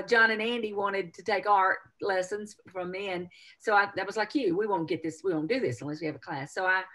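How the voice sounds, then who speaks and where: An older woman hosting a casual conversational interview, halting a little and repeating words as she goes. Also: mid-range voice, fairly bright tone. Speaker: interviewer, host. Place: indoor home office, on video call.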